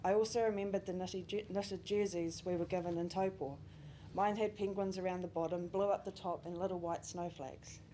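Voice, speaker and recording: Young New woman, eulogy reader, low mellow tone, faint noise. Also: steady tone, normal speed, hardly any pauses, rough recording. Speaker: family friend giving a eulogy. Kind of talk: reading a memorial speech. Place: memorial service venue.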